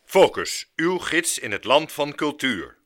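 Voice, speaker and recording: An actor playing a stiff, balky male station announcer in a radio comedy, reading promos slowly and objecting. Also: on the high side, very animated, fairly bright tone, no echo, clean studio sound. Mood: solemn.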